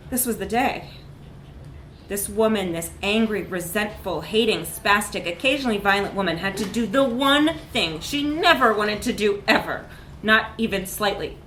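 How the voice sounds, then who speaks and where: A woman reading a comic short story aloud in a live literary reading, with expressive, dramatic emphasis. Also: mid-range voice. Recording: echoey room with background noise.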